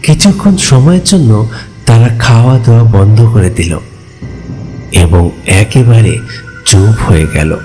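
A man's voice: fairly low pitch, animated, measured pace, long pauses, mellow, soft background music. A man playing a frightened narrator who recounts a ghost story in a dramatic, suspenseful way. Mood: suspenseful, eerie. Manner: suspenseful, with anxious repetition. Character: frightened male hotel customer recounting his ghost encounter. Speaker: first-person narrator.

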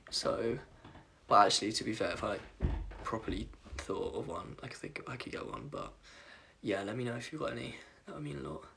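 Croaky young man chatting on a livestream. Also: medium pitch, bright, forceful tone, slight background noise. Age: young adult. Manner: chatty, apologetic, scattered, reading comments aloud. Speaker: livestream host. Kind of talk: casual livestream chat. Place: dim bedroom at night, indoors. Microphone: phone camera at arm's length.

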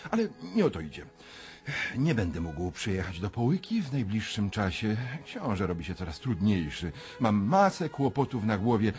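An actor playing a cold, calculating, irritable fiancé in a radio drama, speaking with measured, formal self-assurance. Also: male voice, low voice. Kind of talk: 1990s Polish radio drama acting.

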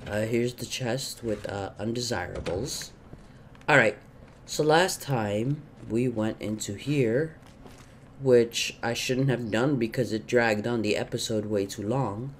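A man's voice: mid-range voice, slow pace, slight background noise. Young man rambling over a game, sore throat. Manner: rambling, thinking aloud with many fillers. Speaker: gaming YouTuber. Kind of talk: casual let's-play commentary. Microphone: gaming headset.

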